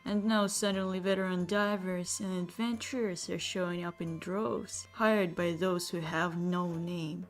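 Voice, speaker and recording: An actress playing a composed, probing older elf veteran in a fantasy story. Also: female voice, fairly low pitch, steady tone, unhurried, few pauses, lightly acted. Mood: musing, suspicious. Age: middle-aged. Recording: music bed under the voice.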